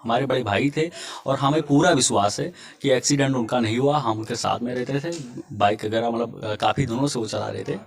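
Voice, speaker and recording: A young man from Uttar Pradesh speaks in an interview as if making a formal appeal. His voice sits at a middle pitch. He is earnest and halting, often reaching for filler words. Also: vocal fry. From Uttar Pradesh, India. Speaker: victim's younger brother giving a statement. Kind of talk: on-camera interview statement.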